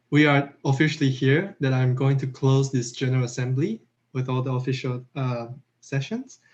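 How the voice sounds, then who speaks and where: A man's voice: medium pitch, darkish tone. A man as federation president giving a formal closing speech over a video call, earnest, with small asides. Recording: slightly dull online call audio.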